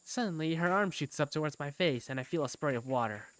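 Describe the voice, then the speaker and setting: Guy doing amateur first person story narration for a game, highish voice, really animated. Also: quick pace, no echo. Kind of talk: narrating a visual novel fandub and acting its lead.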